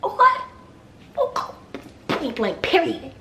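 A woman's voice: sits high, wildly swooping pitch, slow, sharp tone. A middle-aged American woman chatting casually to camera in a sassy, emphatic, comedic way, repeating herself for effect. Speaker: vlogger. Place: indoors at home, mostly in a kitchen. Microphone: handheld or nearby camera at arm's length. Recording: echoey room with clear background noise.

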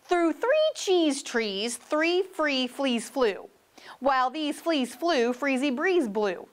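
Woman playing an animated, insistent storybook fox. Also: high-pitched, slow, full character voice. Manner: coaxing, tongue-twisting patter. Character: playful, insistent male storybook fox trickster.

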